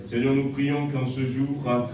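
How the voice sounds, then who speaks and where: A male pastor praying over and baptizing a believer in a church, measured with pauses. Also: medium pitch, a crowd in the background. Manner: flat, measured, pausing with fillers. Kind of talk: prayer and baptism rite. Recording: echoey church hall from far off, congregation around.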